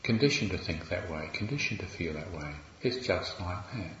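A man with a New Zealand accent, a Buddhist monk giving a gentle, reflective dharma talk with wry asides. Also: low-pitched, expressive, moderate pace. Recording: roomy, slightly dull recording with faint ambience.

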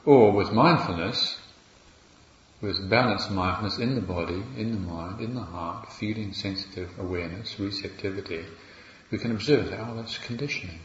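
A man with a New Zealand accent, a Buddhist monk giving a gentle, reflective dharma talk with wry asides. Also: fairly low pitch, normal speed, slight background noise. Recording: roomy, slightly dull recording with faint ambience.

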